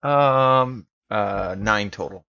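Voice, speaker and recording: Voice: medium pitch, extremely slow, pushed and bright, a little hiss or hum. A tabletop game player chatting casually at the table, on a slightly dull recording.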